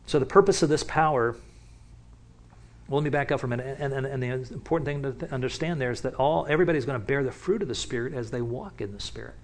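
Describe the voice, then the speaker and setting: A man teaching from the Bible in a relaxed, conversational way, often restarting sentences and picking up energy as he warms to a point. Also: medium pitch, quick pace. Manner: casual, with many restarts and asides. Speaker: pastor.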